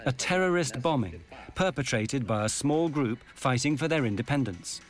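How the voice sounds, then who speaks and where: A man's voice: medium pitch, expressive, normal speed, faint music behind. Grave male documentary narrator.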